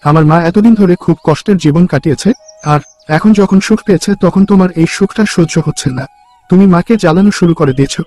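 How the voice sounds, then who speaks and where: A man's voice: sits high, quick pace, a little mellow, soft background music. A man voicing a righteous, devoted young married son in a cartoon, stern and reproachful. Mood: angry, indignant.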